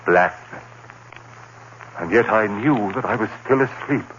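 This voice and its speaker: A man playing a terrified condemned prisoner recounting his nightmare in halting, anguished phrases, in the style of 1940s radio horror. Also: lowish voice, fairly bright tone, dull audio. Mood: dread. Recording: noisy, band-limited old radio recording.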